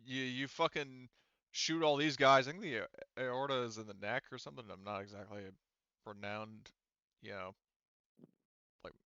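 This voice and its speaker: A man working as game master of a tabletop roleplaying game, narrating casually and haltingly, thinking aloud and swearing. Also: medium pitch, fairly even intonation, pushed and bright, clear, resonant tone, no echo, highs cut off.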